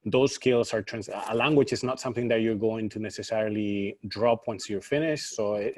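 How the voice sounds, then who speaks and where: A man's voice: medium pitch, darkish tone. A man on a panel speaking persuasively, repeating words as he thinks. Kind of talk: panel discussion.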